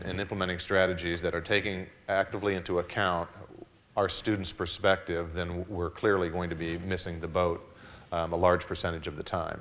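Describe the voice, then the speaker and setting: A man's voice: sits low, fairly bright tone. A man who is a school district administrator, answering a question at a town hall panel in an earnest way, with many restarts and repeated words.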